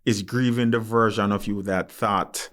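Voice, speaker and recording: A man's voice: fairly low pitch, steady tone, a little slow, fairly bright tone. A man hosting a podcast interview, talking reflectively and earnestly and often giving short acknowledgements while his guest speaks. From Jamaica. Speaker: podcast host. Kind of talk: interview conversation.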